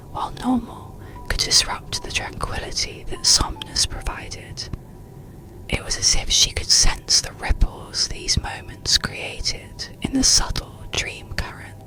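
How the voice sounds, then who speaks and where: A storyteller whispers a scripted bedtime tale in ASMR style. The voice is breathy and hushed, with a faint crackle in it. The phrasing is soothing and unhurried. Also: sits high. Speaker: audiobook narrator.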